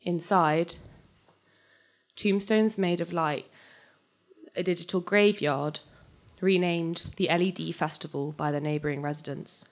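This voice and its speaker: A young woman with a slightly low voice performs a short story live at a stage microphone. Her delivery is scripted and dramatic, moving between measured narration and more conversational character lines. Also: fairly even intonation. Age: young adult. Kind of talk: live dramatic reading of scripted science fiction, alternating narration and dialogue. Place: on stage at a live reading event, indoors. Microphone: handheld-type microphone on a stand, close.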